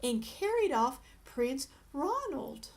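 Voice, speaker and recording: A woman reading a children's story aloud as an expressive narrator. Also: high voice, slow pace.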